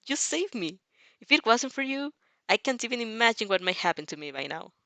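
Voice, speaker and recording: A woman's voice: a little high, big pitch swings, fairly quick, sharp tone, no echo, full character voice. A woman voicing a young vampire girl in a fanfic audio drama, playful and affectionate. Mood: tender, sincere.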